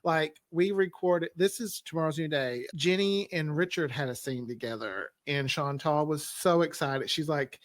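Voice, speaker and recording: A man's voice: fairly high pitch, normal speed, hardly any pauses. A man chatting casually and enthusiastically as a writer on a panel. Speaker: audio drama writer. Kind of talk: casual group chat.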